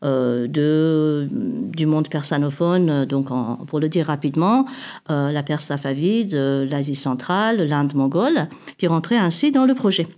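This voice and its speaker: A woman from Iran with a somewhat low voice talks through an academic project. She speaks haltingly, with frequent fillers, repeated words and restarts as she gathers her thoughts. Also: expressive, darkish tone. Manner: hesitant, many fillers and restarts. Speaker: university researcher. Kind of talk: academic interview answer.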